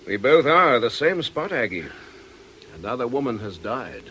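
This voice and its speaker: A man's voice: mid-range voice, big pitch swings, normal speed, sharp tone, slight background noise, rough recording. A man playing a concerned, probing middle-aged old friend in a radio drama, earnest and questioning.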